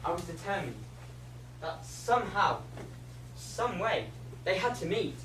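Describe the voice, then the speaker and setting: Through loud noise in an echoey room comes a man playing a lonely schoolboy of ten, high and very animated. He confides his schemes earnestly, as in an amateur stage play. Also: a little slow, far from the mic. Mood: determined, scheming. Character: lonely, scheming ten-year-old schoolboy son of a widower.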